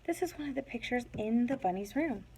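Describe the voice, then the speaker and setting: A woman's voice: fairly high pitch, expressive, a little fast, noisy background. A woman teacher doing a read-aloud lesson for young children, speaking in simple, instructive phrases. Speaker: teacher reading a picture book aloud to young pupils.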